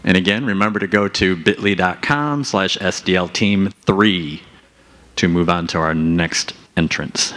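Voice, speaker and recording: A man emceeing a hackathon and announcing the next team in a plain, unhurried way. His voice is fairly low, and he speaks very slowly. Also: a bit bright. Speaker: event emcee. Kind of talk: hosting announcements. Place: conference stage.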